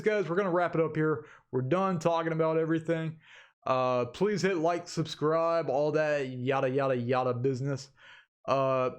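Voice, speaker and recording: Male vlog host, mid-range voice, informal rambling delivery, talks straight to viewers like buddies. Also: medium pitch. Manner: informal, chatty, addresses viewers as guys. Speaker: YouTube channel host.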